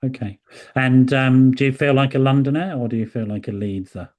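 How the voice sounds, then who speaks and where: A man's voice: medium pitch, steady tone, fairly quick, a little mellow. A man from the hosting an interview, chatty and encouraging. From English Midlands. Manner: chatty and encouraging, many fillers. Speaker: podcast host.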